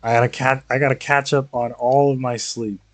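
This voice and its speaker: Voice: mid-range voice, little pitch movement, a little fast, no room sound. A streamer chatting casually while playing a game, rambling with sweary, jokey asides. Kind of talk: casual livestream chat and game reactions.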